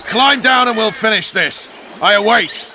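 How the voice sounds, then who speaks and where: An actor playing a hot-tempered special forces commander in a video game, curt, coarse and commanding. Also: male voice, high-pitched, a little slow, pushed and bright, slight background noise, full character voice, low-quality recording. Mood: angry.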